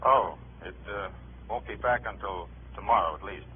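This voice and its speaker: An actor playing a terse, matter-of-fact government agent heard through a telephone filter in a 1950s radio drama. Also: male voice, fairly low pitch, normal speed, slightly rough. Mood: terse, apologetic. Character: discreet, businesslike male FBI contact on the phone. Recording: faint, tinny telephone voice, old radio recording.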